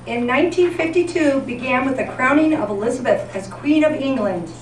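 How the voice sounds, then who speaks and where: A woman with a somewhat high voice delivers a scripted tribute as if reading radio news. Her presentation is theatrical and comic. She is heard from a distance, with clear background noise in the room. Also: brisk. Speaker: event presenter. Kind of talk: scripted comedic tribute narration, golden-age radio newscast format. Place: live event in a senior center room. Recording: echoey, noisy room recorded from far off.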